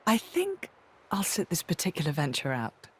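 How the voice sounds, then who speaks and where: A woman's voice: sits low, wildly swooping pitch, normal speed, breathy edge, heavily acted. An actress playing an informal young woman warrior in a video game, casual and hesitant, shy of grand company. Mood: awkward, reluctant. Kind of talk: video game cutscene acting.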